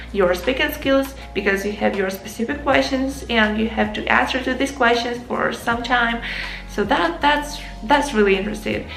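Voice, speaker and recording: A woman's voice: a little high, vocal fry, a bit bright. Young Ukrainian-native woman teaching English to camera, earnest, in a roomy echo. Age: young adult. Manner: earnest, addresses viewers as guys. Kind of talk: casual advice lesson to camera. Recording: echoey room with faint music.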